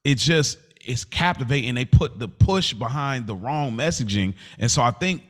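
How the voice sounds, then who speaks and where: A man's voice: medium pitch, expressive, moderate pace. An American man co-hosting a podcast, chatting casually in a slangy, reflective and candid way. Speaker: podcast co-host. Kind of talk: casual conversation.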